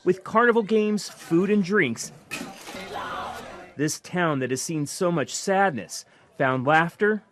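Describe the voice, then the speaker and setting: An American man narrates a scripted news feature. His voice is somewhat high-pitched for a man, in a reporter's delivery. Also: slow pace, long pauses.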